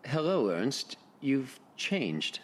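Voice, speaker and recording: Radio drama client: an intense, wistful, nervous grown man, rough-edged, wildly animated delivery. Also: mid-range voice, drawn-out words, exaggerated voice. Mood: nervous intensity.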